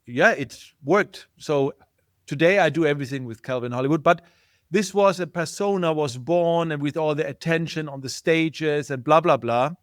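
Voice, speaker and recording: A man's voice: on the high side, normal speed, dry recording, studio quality. A middle-aged man with a German accent, a guest in an interview, thinking aloud and searching for his words. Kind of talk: casual interview talk.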